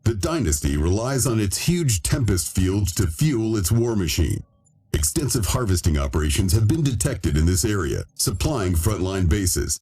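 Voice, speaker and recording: Formal game mission briefer, low dark voice, authoritative, music underneath. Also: male voice, sing-song, moderate pace, slightly rough. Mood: grave, formal. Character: grave male military mission briefer.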